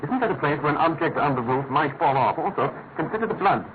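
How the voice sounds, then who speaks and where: A noisy, tinny old radio recording carries an actor as a brilliant detective. He talks quickly and crisply in a slightly high male voice, musing over evidence with complete self-assurance. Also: animated, natural voice. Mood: brisk, assured. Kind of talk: classic radio drama acting.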